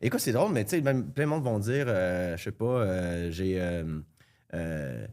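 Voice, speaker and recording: A young man with a Franco-Ontarian French accent, chatting casually as a podcast guest and often pausing on fillers and restarts. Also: fairly low pitch, lively intonation, a little mellow. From Ontario, Canada. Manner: halting, many fillers and restarts. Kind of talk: casual chat.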